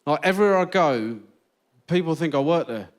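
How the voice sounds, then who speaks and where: A British man doing a stand-up set in a theatre, telling stories with deadpan punchlines. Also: fairly high pitch, fairly quick, heavy background noise. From Britain. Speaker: stand-up comedian. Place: large theatre with live audience. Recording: stage mic in theatre. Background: loud audience laughter.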